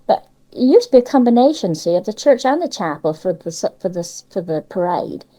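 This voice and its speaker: Older cornish lady chatting away, soft mellow tone, voice up and down loads, lots of dialect and little tag questions. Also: medium pitch, sing-song, a little fast. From Cornwall, England. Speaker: interviewee sharing local memories. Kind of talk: casual reminiscing chat.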